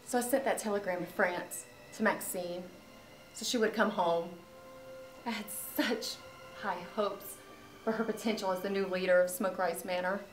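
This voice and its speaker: An actress playing a scheming mother calmly revealing her plan in a campy comedy. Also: medium pitch, normal speed, reverberant, faint music behind, natural voice, low-quality recording. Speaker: actor.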